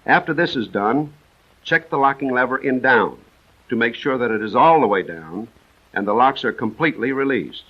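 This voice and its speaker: An American man narrating a 1940s military training film in a measured, precise, instructional manner. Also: medium pitch, animated. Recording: dull vintage 1940s film soundtrack.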